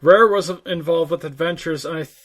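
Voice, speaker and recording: High-pitched man in casual chat. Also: a little fast, a bit bright. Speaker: co-host of a gaming discussion podcast.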